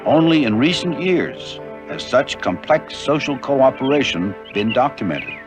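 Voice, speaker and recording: A man narrating an educational nature documentary in a measured, informative way. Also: mid-range voice, music in the background. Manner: measured, even, informative delivery. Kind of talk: scripted narration.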